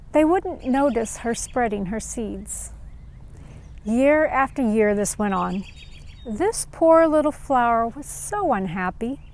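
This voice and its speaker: A woman reading scripted educational narration and stories aloud for children, in a measured storyteller's manner. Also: a little high, a little mellow.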